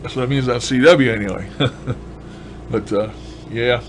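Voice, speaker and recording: A man's voice: mid-range voice, measured pace. Folksy man rambling over background noise. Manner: folksy, colloquial, enthusiastic. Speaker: ham radio hobbyist vlogger. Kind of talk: casual rambling demonstration of a radio gadget.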